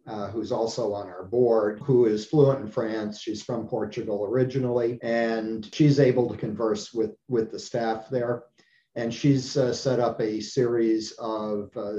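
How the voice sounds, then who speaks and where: A man from the United States, an eye surgeon being interviewed, explaining his work in a measured way with frequent pauses. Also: on the low side, mellow, roomy sound, dull audio. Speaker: ophthalmologist guest. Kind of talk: conversational interview.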